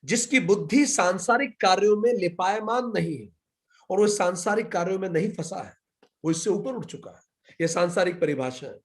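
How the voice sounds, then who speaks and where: A man's voice: sits high, lively intonation, moderate pace. A man with an Indian accent teaching scripture, building points through repetition and asking and answering his own questions. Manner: emphatic, repetitive rhetorical build-ups. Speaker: spiritual teacher. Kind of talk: scripture lecture.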